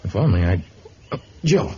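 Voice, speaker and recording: An actor playing an earnest, protective jungle hero with a measured, melodramatic delivery in an old radio serial. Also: male voice, deep voice, very animated, dark tone, slight background noise. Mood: anguished. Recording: muffled vintage radio recording, background din.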